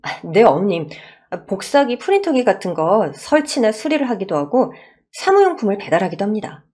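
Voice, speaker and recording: A shy young working man, played by a woman in a radio story drama. He is polite, hesitant and earnest, with a husky tone and lively swings in pitch. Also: moderate pace. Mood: polite, nervous. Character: shy, earnest young male repairman in love.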